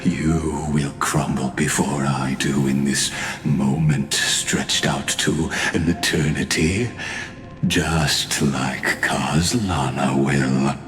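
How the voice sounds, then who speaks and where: An actor playing a cold, patient genius villain in a video game, speaking formally and haughtily. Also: male voice, extremely low pitch, steady tone, slow, a bit bright, reverberant, faint music behind, full character voice. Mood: cold, haughty.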